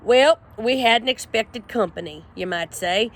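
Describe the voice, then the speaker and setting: A woman's voice: fairly high pitch, a little slow. Hank the Cowdog, a woman playing a boastful ranch dog. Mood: blustering. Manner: pompous, rambling, full of bluster. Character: boastful, self-assured male ranch dog.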